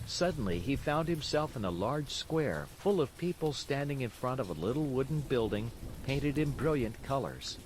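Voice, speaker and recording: A man reading a bedtime story as an expressive storyteller, in scripted narration. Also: medium pitch, normal speed, few pauses, a little hiss or hum, rough recording. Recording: steady rain sounds behind the voice. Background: rain.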